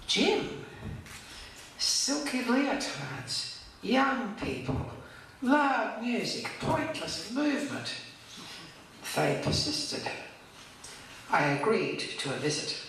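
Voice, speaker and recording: A woman's voice: medium pitch, fairly bright tone, far from the mic. An older woman reading her own poem as a monologue, recorded and played back in a hall. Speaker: poet. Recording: played over echoey hall speakers, faint noise. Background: faint hiss of hospital oxygen.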